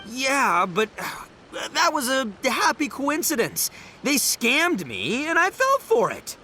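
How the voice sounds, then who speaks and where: Boisterous slangy game tough guy, bright punchy tone. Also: extremely high pitch, slow, a little hiss or hum, exaggerated voice. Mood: excitable. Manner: loud, excitable, slangy. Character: brash boisterous young male gang leader. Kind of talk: game cutscene voice acting.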